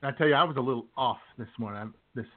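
Male co-host for casual comic banter, wry delivery, average pitch, thin telephone-quality sound. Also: racing. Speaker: radio show co-host. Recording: tinny phone line.

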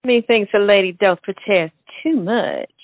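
A woman's voice: medium pitch, measured pace, no room sound, lightly acted. A woman playing a bossy, skeptical lady friend with a wry, teasing way of talking in a stage comedy. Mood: skeptical, amused. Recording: tinny, narrow-band sound.

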